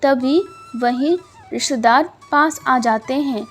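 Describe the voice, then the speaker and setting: A woman narrating a Hindi cartoon story in a gentle, measured, moralizing style. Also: high voice, faint music behind.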